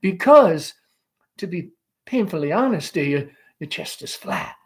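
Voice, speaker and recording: A man's voice: high voice, lively intonation, a little slow. A man playing a bossy, exasperated middle-aged mother who scolds and insists. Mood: insistent.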